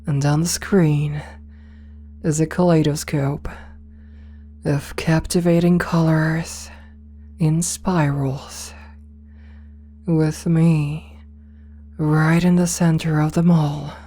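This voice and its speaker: A woman playing a possessive, domineering rich CEO in an ASMR roleplay, speaking softly and coaxingly with condescending sweetness, slipping into whispers. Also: low-pitched, little pitch movement. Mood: hypnotic, coaxing. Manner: slow, soft, breathy coaxing, slipping into whispers.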